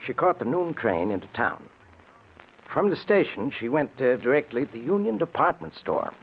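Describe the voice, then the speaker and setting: An actor playing a deferential, slightly uneasy male private detective with a husky, hesitant delivery, in old-time radio style. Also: mid-range voice, sing-song, normal speed. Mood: businesslike, hesitant. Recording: tinny old radio broadcast.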